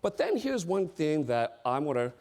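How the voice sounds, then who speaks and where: A middle-aged man delivers an emphatic seminar talk and drives his key points home by repeating them. His voice sits a little high for a man and leaps up and down with lively swings. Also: normal speed. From United States. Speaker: speaker on board service.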